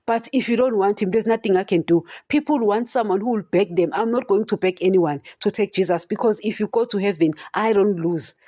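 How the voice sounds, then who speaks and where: A woman preaches straight to her podcast listeners, stuttering at times and asking again and again whether they understand. Her voice is mellow and mid-pitched, and the recording sounds dry and close. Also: a little fast, few pauses, dry recording. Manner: direct, repeats "you understand?", addresses listeners as guys. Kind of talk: solo preaching podcast talk.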